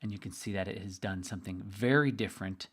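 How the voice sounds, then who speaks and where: A middle-aged man with a slightly hoarse, tired voice, hosting a live webinar in an upbeat, chatty way and talking straight to his listeners. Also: on the low side, fast talker. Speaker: investment trainer hosting a webinar.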